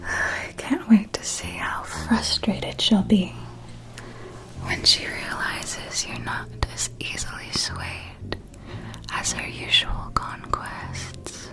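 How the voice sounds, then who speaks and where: Woman playing a slow, whispering, elegant vampire mentor. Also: mid-range voice, heavily acted, rough recording. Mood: sly, amused. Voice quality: soft, breathy whisper. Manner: slow, deliberate, genteel, intimate. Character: elegant female vampire mentor, possessive, knowing, quietly proud. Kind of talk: whispered ASMR roleplay.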